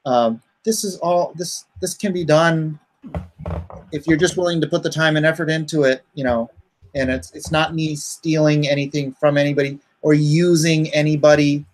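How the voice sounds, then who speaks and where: A man's voice: fairly high pitch, fairly even intonation, normal speed. A middle-aged man with a nasal voice, chatting casually as the host of a livestream. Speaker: host, comic artist.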